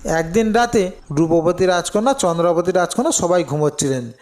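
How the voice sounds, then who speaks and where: A man's voice: high voice, expressive, a little fast, darkish tone, soft background music. A man narrating a scripted folktale as a storyteller.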